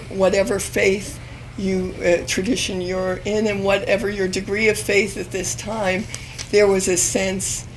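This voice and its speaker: A woman in her fifties, hoarse and losing her voice, speaking on an alumni panel at a university event, with many pauses and restarts. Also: lowish voice, slight background noise, poor audio. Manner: hesitant, many ums and restarts. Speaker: panel speaker, magazine editor. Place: university event room with audience.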